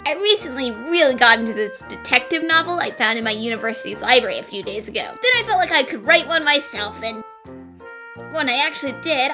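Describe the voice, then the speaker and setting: A woman voicing a conceited young male academic and amateur mystery writer in an animated fan dub, telling stories smugly and theatrically. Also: sits high. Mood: self-satisfied. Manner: smug, theatrical storytelling.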